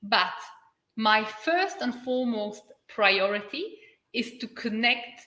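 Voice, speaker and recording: A woman from Poland with a Polish accent, speaking English as a second language, giving an earnest spiritual livestream talk and stretching key words with dramatic pauses. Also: on the high side, animated, pushed and bright. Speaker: spiritual channel and activator. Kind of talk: livestream talk answering viewer comments. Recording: echoey room.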